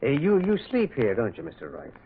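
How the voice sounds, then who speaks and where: An actor playing a mild, shrewd priest detective who questions gently and hesitantly in an old radio drama. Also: male voice, mid-range voice, huge pitch swings, fairly quick, husky, full character voice. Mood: gently probing. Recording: muffled, narrow-band old radio recording.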